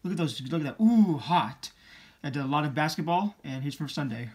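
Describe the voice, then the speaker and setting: A man's voice: a little high, lively intonation, a little fast, a little mellow. A teenage boy hosting a sneaker channel, talking casually and rambling a bit as he shows his shoes, recorded on a camera mic in a room indoors. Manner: chatty, upbeat, rambling. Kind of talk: casual vlog show-and-tell.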